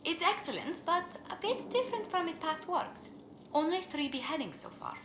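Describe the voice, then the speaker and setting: A woman playing a courteous, tactful court diplomat in a fantasy game, speaking in a polite, measured, formal way. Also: very high-pitched, expressive. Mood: pleased. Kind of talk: scripted game dialogue. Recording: echoey room, clearly noisy game capture.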